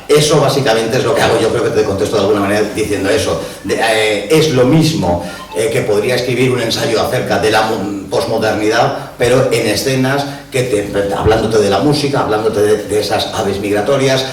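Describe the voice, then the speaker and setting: A man's voice: on the low side, slight background noise. Blunt older man, in an echoey room. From Madrid. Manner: blunt, scornful and nostalgic, with frequent hesitations. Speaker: author. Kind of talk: book presentation talk. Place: bookshop event room. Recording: roomy echo from a distant mic.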